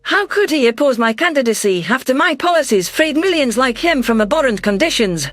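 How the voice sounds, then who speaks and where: A man's voice: very high-pitched, lively intonation, a little fast, a bit bright, dry recording. A man reading a science fiction story aloud as a dramatic first-person narration. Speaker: audiobook narrator. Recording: music bed under voice.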